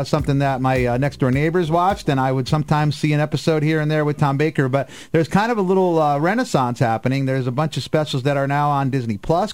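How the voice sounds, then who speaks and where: A man hosting a casual radio panel discussion, opinionated and emphatic. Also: a little high. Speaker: radio show host.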